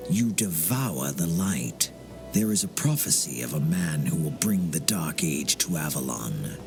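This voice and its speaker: An actor playing an ancient, menacing fae seer in a fantasy game, theatrical and portentous with whispery turns. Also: male voice, a bass voice, very animated, measured pace, creaky, exaggerated voice. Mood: ominous. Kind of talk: fantasy RPG voice acting. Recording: game dialogue over loud music.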